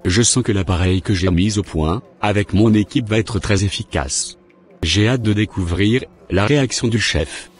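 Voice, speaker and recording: A man's voice: lowish voice, normal speed, soft background music, natural voice. A man playing a resentful, grumbling father and engineer in a cartoon, with a stiff scripted delivery. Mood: irritated, eager. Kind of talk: animated cartoon dialogue.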